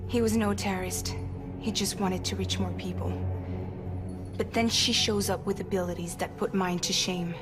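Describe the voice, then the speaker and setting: A battered but candid young female ally played by an actress in a game drama. She is direct and world-weary, with a mid-range voice set against loud dramatic music. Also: medium pitch, fairly even intonation, moderate pace, natural voice. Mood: urgent, frank. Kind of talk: video game cutscene dialogue.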